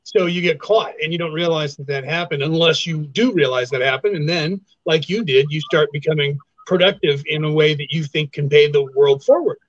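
A man with a high voice co-hosts a podcast, reflecting and explaining at length. His audio sounds dull and lo-fi, with a dry, close sound. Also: fairly quick, few pauses, no room sound. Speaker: podcast co-host. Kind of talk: casual podcast conversation.

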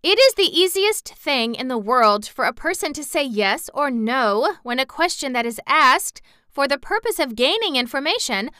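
A woman's voice: high voice, sing-song, normal speed, hardly any pauses, pushed and bright, no room sound, studio quality, pitched above the performer's own voice, heavily acted. A woman voicing a wordy village woman who talks in endless roundabout sentences.

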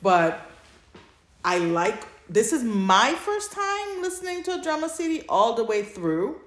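A woman's voice: on the high side, sing-song, a little slow, slight background noise. A woman co-hosting a podcast in casual chat, playful and emphatic, with informal language. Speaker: podcast co-host. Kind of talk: casual chat about audio dramas. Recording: roomy, echoey sound.

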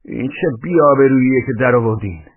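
A man acting an exasperated, indignant male flat owner. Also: mid-range voice, dry recording, voice pushed up, lightly acted. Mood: outraged. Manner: loud, protesting. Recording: tinny band-limited radio-like sound.

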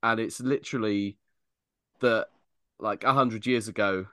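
A man hosting a casual sports podcast, chatty and quick to laugh as he steers the conversation. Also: lowish voice, bright, forceful tone, dry recording. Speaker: podcast host.

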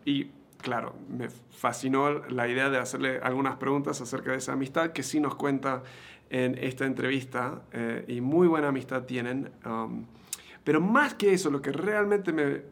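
A man's voice: medium pitch, creaky. A man in his thirties with an Argentine Spanish accent, hosting an interview podcast in a conversational style. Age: 30s.

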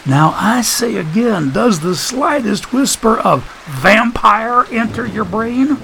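A man's voice: sits high, animated, slow pace, creaky, bright, forceful tone, slight background noise, voice pushed up, full character voice. A man voicing a folksy, wisecracking man in an audiobook, full of sarcasm and mock-pompous big words.

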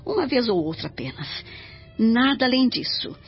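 A woman playing a serene, devoted older mother spirit in a radio drama, speaking in a solemn, measured, instructive way. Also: a little high, sing-song, slightly rough, exaggerated voice. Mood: solemn, sorrowful. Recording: dull radio drama track with music.

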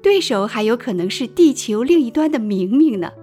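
A woman's voice: a little high, animated, moderate pace, dark tone. A woman giving scripted dramatic narration for a radio play. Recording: music under the voice.

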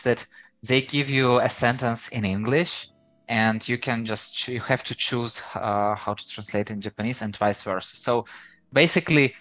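A young man speaks English with a Ukrainian accent, his voice low and steady in pitch. He talks casually and loosely, filling pauses with "um" and circling back to restart his sentences. The recording is dry, with faint music underneath. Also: dry recording. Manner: rambling, with many fillers and restarts. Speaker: podcast guest. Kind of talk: casual interview chat.

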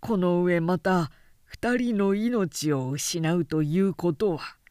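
A grave old lord , voiced by a woman narrator, in a period storybook reading. He speaks slowly and softly, patient and soothing as he persuades. The sound is dry and close. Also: fairly low pitch, smooth, no room sound. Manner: measured, hushed, soothing.

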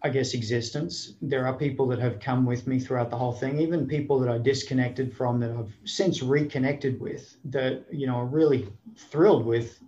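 A man's voice: mid-range voice, normal speed, dark tone. Reflective middle-aged Australian man, muffled audio. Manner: thoughtful, frequent restarts and 'you know'. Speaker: guest. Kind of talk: reflective storytelling in conversation.

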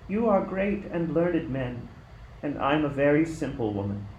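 Guy voicing a gentle earnest young mom in a bible radio play, highish, echoey. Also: big pitch swings, lo-fi sound. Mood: humble, wondering. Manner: earnest, measured, reverent. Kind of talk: amateur radio play acting. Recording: echoey room, faint noise.

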